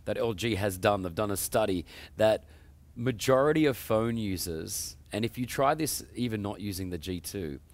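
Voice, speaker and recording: A man's voice: fairly low pitch, moderate pace. Emphatic male show host. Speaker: host interviewer. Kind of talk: promotional live show interview.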